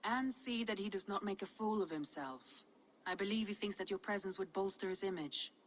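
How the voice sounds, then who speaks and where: A woman playing a composed, wise Norse adviser in a video game, speaking in a measured, gently wry way. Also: mid-range voice, slight background noise, natural voice, low-quality recording. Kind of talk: dramatic video game acting.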